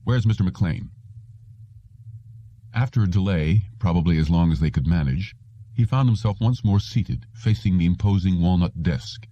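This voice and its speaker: A man's voice: sits low, moderate pace, warm tone, no echo, highs cut off. A man reading a science fiction story aloud as scripted narration. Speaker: audiobook narrator.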